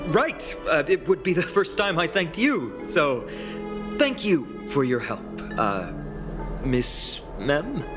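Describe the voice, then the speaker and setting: An actor playing an earnest young fantasy hero in a video game, speaking sincerely and politely. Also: male voice, on the high side, very animated, takes it slowly, music in the background. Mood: sheepish. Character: earnest young male hero resolved to bear divinity.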